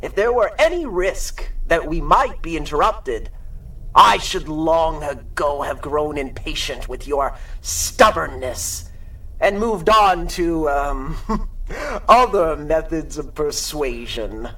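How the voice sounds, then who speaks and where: High, theatrical gloating supervillain. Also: male voice, expressive, bright, forceful tone, distant mic, exaggerated voice, rough recording. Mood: gloating, menacing. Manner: mocking, drawn-out, theatrical, frequent cackling. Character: gloating sadistic male supervillain, a theatrical maze master. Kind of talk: radio drama acting in 1930s pulp style.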